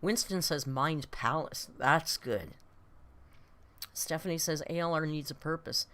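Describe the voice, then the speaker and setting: A woman's voice: low voice, a little slow, a bit bright. A woman in her late fifties hosting a casual live stream, chatting with viewers in a rambling, self-deprecating, blunt way with mild swearing. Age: late 50s. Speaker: host of a reaction live stream.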